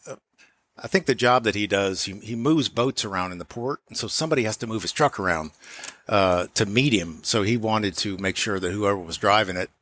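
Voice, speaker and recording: A man's voice: fairly low pitch, a little fast, fairly bright tone. A man speaking as an auto shop owner and guest, explaining things informally in a casual interview.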